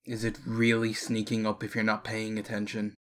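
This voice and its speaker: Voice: medium pitch, completely flat delivery, moderate pace, a bit bright, close to an everyday voice. A performer playing a sarcastic, crude companion with a scornful drawl. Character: sarcastic, foul-mouthed companion. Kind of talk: fan audio drama acting.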